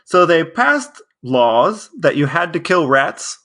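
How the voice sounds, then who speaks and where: A man's voice: a little high, sing-song, slow, sharp tone. A man co-hosting a podcast, telling things in a casual, chatty way. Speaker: podcast co-host. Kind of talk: casual storytelling chat.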